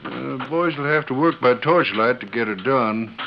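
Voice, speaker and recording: Folksy western sheriff, muffled old radio. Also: male voice, medium pitch, expressive, moderate pace, vocal fry, slight background noise. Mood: awkward sympathy. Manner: folksy, awkward, hesitant. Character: apologetic small-town western sheriff. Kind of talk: 1930s-50s western radio drama acting.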